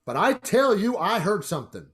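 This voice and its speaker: A woman's voice: medium pitch, very animated, normal speed, natural voice. A woman playing a confident, skeptical family father in a radio play, brash and hearty in manner. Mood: alarmed.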